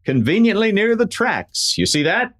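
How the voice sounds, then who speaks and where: A man playing a resolute masked cowboy hero in a radio play, explaining and giving orders with crisp authority in an American accent. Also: high voice, sing-song, moderate pace, a bit bright, full character voice. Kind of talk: Western radio play acting.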